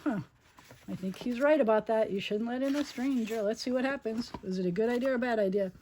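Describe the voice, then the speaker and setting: A woman's voice: on the high side, quick pace, a little mellow, slight background noise. A woman reading a children's storybook aloud expressively, with chatty asides and questions to her listeners.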